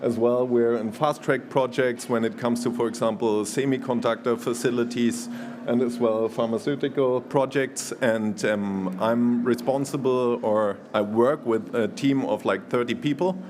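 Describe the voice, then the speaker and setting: A man from Germany giving a conference presentation, halting and full of restarts, laughing easily. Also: medium pitch, fairly even intonation, background noise. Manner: hesitant, many fillers and restarts, laughs easily. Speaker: conference speaker.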